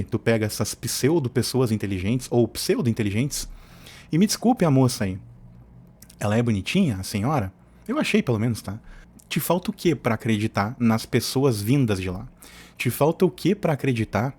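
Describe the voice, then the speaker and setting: A man's voice: mid-range voice, a little mellow, breathy edge. A man from hosts an informal commentary. He is opinionated and colloquial, wandering off into asides as he argues his points. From southern Brazil. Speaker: host of an opinion channel.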